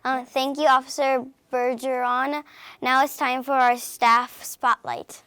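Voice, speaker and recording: A woman's voice: sits high, level tone, unhurried, sharp tone, clear, resonant tone, dry recording. A young woman reporter interviewing a guest politely, with a few hesitations. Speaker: student reporter.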